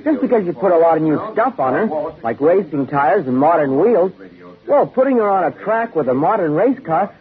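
A high, lively seventeen-year-old boy. He is wry and skeptical, quick to talk back in 1950s radio style, with a thin phone-like recording. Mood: skeptical, cheeky. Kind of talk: 1950s radio drama acting.

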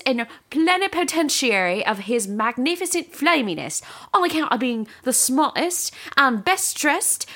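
A woman's voice: high-pitched, a bit bright, voice pushed up. A woman playing a gleeful, unhinged jester emissary. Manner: manic, teasing, crude. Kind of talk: improvised comedic audio story.

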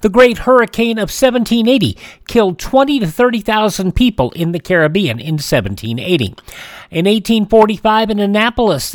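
A man hosting a classic radio program, chatting in a relaxed, rambling way with frequent fillers. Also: sits high, no room sound, clean studio sound. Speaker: radio show host. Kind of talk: casual radio host chat.

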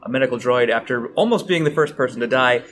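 A man's voice: medium pitch, quick pace, fairly bright tone. A man who acts and writes, introducing himself as a player at a tabletop game. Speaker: actor and player. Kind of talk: casual chat.

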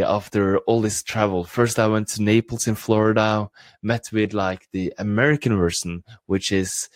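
A man's voice: on the low side, no echo. A man with a Norwegian accent giving an enthusiastic motivational live broadcast talk as a coach trainer. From Norway.

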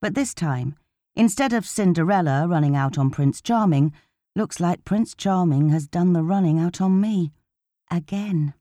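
A woman reading a first-person novel as an audiobook narrator, in an expressive, conversational storytelling way. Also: lowish voice, measured pace, no room sound, studio quality.

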